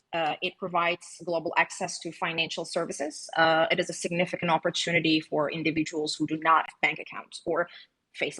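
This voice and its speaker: A woman's voice: lowish voice, a bit bright, husky, poor audio. A woman originally from Greece, a guest marketing officer, explaining a finance topic in a hesitant, filler-heavy way on a podcast.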